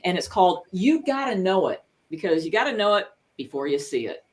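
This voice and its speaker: A woman's voice: lowish voice, very animated, fairly quick, slight background noise. A woman musician casually chatting as she introduces a song. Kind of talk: casual stage banter.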